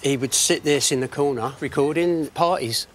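A man's voice: fairly high pitch, normal speed, fairly bright tone. A man in his sixties chatting casually and reminiscing as a guest in a barn workshop. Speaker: guest bringing an heirloom for repair. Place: large timber barn workshop.

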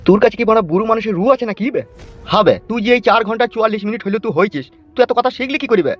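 A man doing a high, rapid, blustering cartoon fellow. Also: expressive. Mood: irritated. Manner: complaining, boastful, swearing. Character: exasperated, blustering, foul-mouthed man, harried father. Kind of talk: comedy cartoon dialogue. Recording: muffled audio with faint music.

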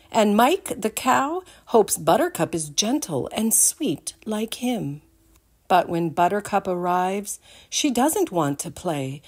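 An older woman giving an expressive read-aloud storytime for young children, in a deliberate, animated manner. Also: on the low side, husky. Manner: deliberate, animated, addresses the listeners with questions.

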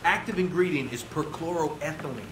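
An actor playing an unhinged, chatty rogue CIA operative in a video game, rambling with flippant, jokey menace. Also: male voice, on the high side, normal speed, reverberant, noisy background, lightly acted. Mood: cheerfully menacing. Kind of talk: video game cutscene acting.

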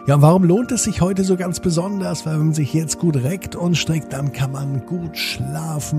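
A man hosting a children's podcast and narrating a bedtime story, speaking directly to young listeners. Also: medium pitch. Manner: addresses young listeners directly, drawn-out repeated words.

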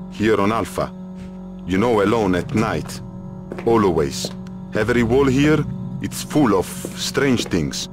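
A dramatic, cowardly Italian guide. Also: male voice, lowish voice. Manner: dramatic, broken English, third-person self-reference. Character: cowardly, excitable, whining Italian guide, male. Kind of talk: video game character acting.

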